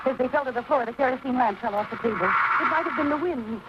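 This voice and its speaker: A woman's voice: high voice, fast, natural voice. An actress playing the anguished daughter of a murdered man, in earnest, dramatic 1940s radio style. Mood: urgent, haunted. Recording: very noisy, muffled 1940s radio recording.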